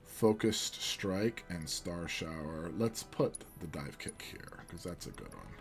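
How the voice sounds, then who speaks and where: A philosophy professor, a man with a booming voice, musing earnestly in a rambling, lecture-like way while playing a video game. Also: sing-song, slow, gravelly, faint music behind.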